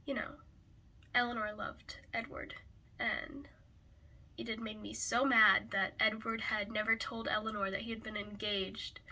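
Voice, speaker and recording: Girl doing a casual book review, raspy stuffed-up cold voice, really bright, talks slow and kinda searches for words. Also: medium pitch, rough recording. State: hoarse from a cold.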